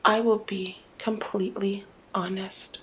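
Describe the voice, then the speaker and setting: A woman playing a flirty, cunning female mob boss in an intimate ASMR roleplay, speaking in a teasing, deliberate murmur that often slips toward a whisper. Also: mid-range voice, level tone, lightly acted. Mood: teasing, confiding. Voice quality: breathy creaky murmur, often dropping to whisper. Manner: teasing, deliberate, drawn-out phrasing.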